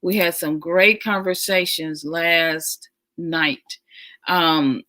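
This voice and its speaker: A woman hosting a casual livestream, talking warmly and enthusiastically, repeating her phrases and making chatty asides. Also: fairly low pitch. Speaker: livestream host.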